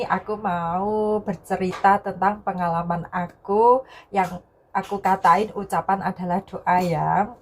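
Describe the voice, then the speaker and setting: A young adult woman from Indonesia telling a personal story to viewers in a casual vlog style, often repeating herself and tagging sentences with a soft filler word. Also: mid-range voice, a little hiss or hum.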